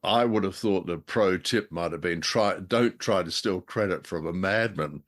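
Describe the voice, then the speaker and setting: A man's voice: low-pitched, moderate pace, fairly bright tone. A man co-hosting a show in casual, bantering chat, with a wry, hesitant and understated way of talking. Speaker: co-host of a show reviewing old radio dramas.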